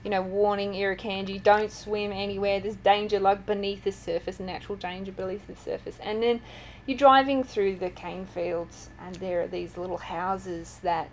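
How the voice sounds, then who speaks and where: A woman's voice: mid-range voice, steady tone, moderate pace, slight background noise, poor audio. A woman with an Australian accent, an author telling stories in a casual interview, chatty and full of ums. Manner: chatty storyteller, many fillers. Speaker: author and guest.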